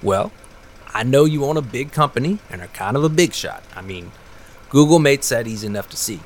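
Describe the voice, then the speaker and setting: Small-town game warden, male actor, nosy and folksy, mid-pitched with animated inflection, natural radio drama delivery. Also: fairly quick, close to an everyday voice. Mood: probing, folksy. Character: Carl, nosy small-town fish and wildlife officer. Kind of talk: naturalistic audio drama dialogue.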